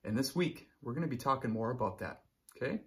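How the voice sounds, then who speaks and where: A man's voice: medium pitch, expressive, fast talker, a bit bright. A man in his thirties, a teacher reading aloud and explaining things to children expressively, often checking in with listeners. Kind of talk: children's read-aloud lesson. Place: small room indoors.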